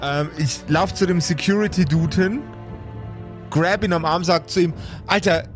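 A man's voice: fairly high pitch, normal speed, over music. A man improvising casually as a player in a tabletop role-playing show. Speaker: player in a pen-and-paper show.

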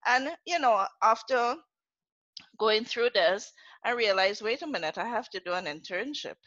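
A woman's voice: a little high, animated, normal speed, a bit bright, no room sound. A middle-aged Trinidadian woman tells her story as a podcast guest, her voice swooping up and down with chatty asides and easy laughter. The audio is dry and slightly muffled, with a lo-fi dullness. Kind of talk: casual podcast interview.

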